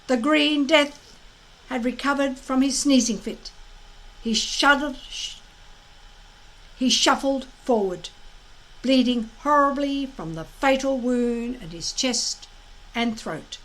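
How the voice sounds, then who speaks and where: High, bright woman reading a storybook. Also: rough recording. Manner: dramatic, emphatic, deliberate phrasing. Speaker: storyteller reading a children's book aloud. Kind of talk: expressive scripted storybook narration.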